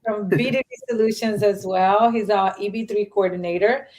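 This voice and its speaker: A woman's voice: mid-range voice, steady tone, moderate pace, a little mellow, low-quality recording. A woman from Argentina hosting a live stream, talking in a chatty way and often restarting her sentences. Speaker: host.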